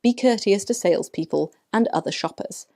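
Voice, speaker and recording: A woman with a soft-edged, mellow voice presents as a vlog host. She delivers scripted commentary and reads book passages aloud, speaking in a measured, articulate manner at a medium pitch. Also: vocal fry.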